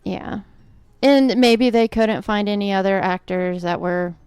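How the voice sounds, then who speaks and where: Smooth-voiced woman, hesitant co-host. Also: mid-range voice, unhurried, a little mellow, no room sound. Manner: hesitant, easygoing, hedging. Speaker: podcast co-host. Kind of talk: casual chat.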